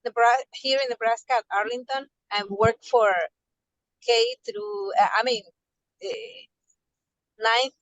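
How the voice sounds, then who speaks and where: A woman who teaches high school, introducing herself haltingly and stumbling over her words on a video call. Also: very animated, dry recording. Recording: dull dry call audio.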